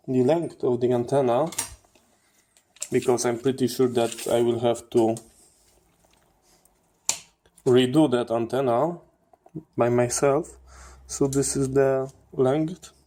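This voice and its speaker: Slow, low-key man explaining hardware. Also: fairly even intonation, dark tone, a little hiss or hum. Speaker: host of a radio electronics channel. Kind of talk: hands-on teardown commentary.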